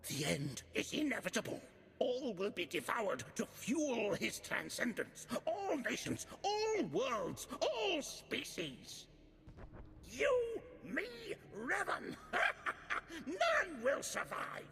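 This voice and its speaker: Manic cult zealot, high wild voice, swoops all over, slow and gravelly, long echo. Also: male voice, huge pitch swings, a little hiss or hum, exaggerated voice. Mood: fanatical raving. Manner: wild shouting, manic laughter. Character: fanatical male cultist guard, ranting and doomed.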